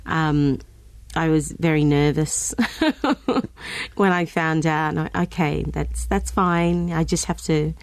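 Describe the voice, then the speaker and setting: Low, dry-miked Australian woman guest; halting, filler-heavy chat. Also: no echo. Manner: hesitant, with many ums and restarts. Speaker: guest singer. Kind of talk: casual interview chat.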